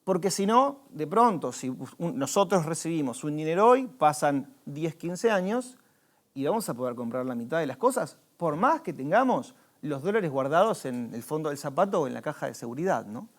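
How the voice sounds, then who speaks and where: An Argentine man speaks as a financial adviser in a relaxed conversation. He explains patiently, walking through concrete examples, and now and then restarts a phrase while he finds the word. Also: fairly high pitch, normal speed. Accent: Argentine Spanish.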